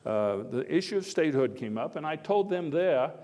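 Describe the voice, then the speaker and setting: A man's voice: on the high side, expressive, a little mellow, lightly acted. Man playing a folksy old-time statesman in an echoey hall. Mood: earnest. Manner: folksy, halting, with oratorical emphasis and wry asides. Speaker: historical reenactor. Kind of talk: live first-person historical monologue, speech to an audience. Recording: echoey hall with long reverb.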